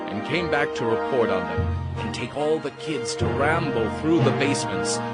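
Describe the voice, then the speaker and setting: A man giving dramatic, scripted storybook narration in an audio drama. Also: on the high side. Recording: music behind the voice.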